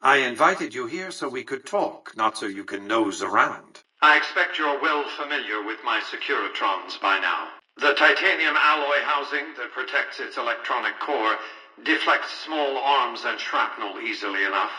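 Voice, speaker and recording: An actor playing an imperious, calculating elderly tycoon in a video game, speaking formally and condescendingly through a radio-like speaker. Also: male voice, mid-range voice, animated, moderate pace, pushed and bright, slightly rough, recorded from a distance, full character voice, rough recording. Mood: haughty, lecturing. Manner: clipped, condescending, formal and articulate. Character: imperious, calculating elderly male tycoon ruling a casino city. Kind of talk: video game character dialogue.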